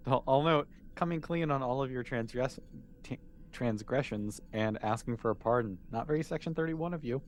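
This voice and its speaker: Informal male game master, medium pitch. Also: normal speed. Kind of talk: casual game mastering, calling for rolls.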